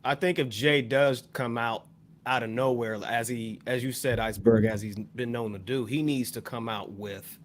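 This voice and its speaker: An American man on a casual hip hop panel, talking in wordy, measured, rather formal phrases. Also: medium pitch, steady tone. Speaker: talk show panelist.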